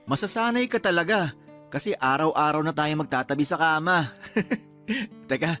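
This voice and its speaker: A man's voice: a little high, expressive, normal speed, bright, forceful tone. A man with a Filipino accent playing a doting, playful young newlywed husband in a Tagalog radio drama. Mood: caring, playful. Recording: music bed behind the dialogue.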